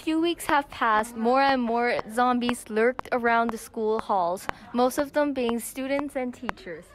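Teen female narrator, high-voiced, reading a student audio drama over audible noise. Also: unhurried. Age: young teen. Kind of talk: scripted story narration. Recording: clicky amateur recording with background noise.

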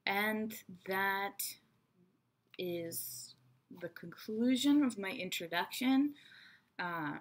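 Slow-talking woman teaching English to camera. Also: mid-range voice, slight background noise. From Israel and America. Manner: chatty, frank, teacherly asides. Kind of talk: online English lesson to camera. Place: small bright room indoors. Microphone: webcam at desk.

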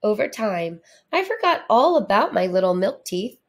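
A woman reading a storybook aloud for children in a deliberate, expressive manner. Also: medium pitch. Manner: deliberate, animated delivery. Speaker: storybook reader, teacher.

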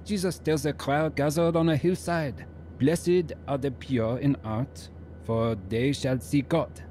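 An actor playing an earnest French husband, a musician and devout new believer, in a reverent radio drama style. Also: male voice, fairly high pitch, steady tone, a little slow, darkish tone, slight background noise, natural voice. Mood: reverent, searching.

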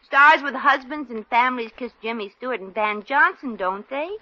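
An actress playing a brassy, scheming young Brooklyn secretary, wisecracking in slangy 1940s radio comedy style. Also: female voice, fairly high pitch, moderate pace, sharp tone. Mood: eager, scheming. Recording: muffled, roomy old radio recording.

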